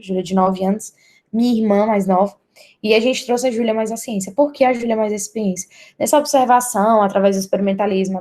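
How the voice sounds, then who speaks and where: A woman's voice: medium pitch, darkish tone. A young adult woman with a Brazilian accent, giving an academic presentation as a university student.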